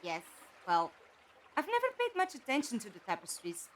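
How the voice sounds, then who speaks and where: A woman acting in an audio drama as a practical, inquisitive captive who talks in a direct, conversational, gently wry way. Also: fairly high pitch, huge pitch swings, normal speed, a little hiss or hum, low-quality recording.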